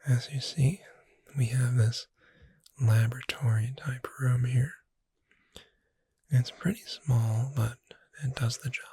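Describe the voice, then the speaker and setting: A performer voicing a gentle, reassuring witch bookseller in a breathy whisper, soothing the listener in an ASMR roleplay. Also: medium pitch, fairly even intonation, no echo, full character voice. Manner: slow, gentle, soothing, addressing the listener directly.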